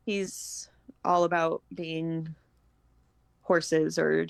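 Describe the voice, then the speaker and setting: A woman's voice: sits low, little pitch movement, drawn-out words, gravelly, clear, resonant tone, no echo. A woman, chatting casually as a guest on a homeschooling podcast. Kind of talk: casual chat.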